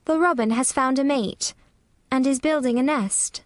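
Woman reading as a young girl, lighter pitch, soft, slow and soothing, storybook voice. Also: animated, no echo, studio quality. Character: young girl, earnest and determined. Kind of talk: audiobook character voice.

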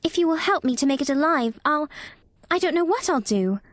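An eager little storybook girl acted by a woman, earnest and a touch anxious. Her voice is very high and swoops with lively, wide-eyed intensity, recorded dry and close. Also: moderate pace, no room sound. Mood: pleading.

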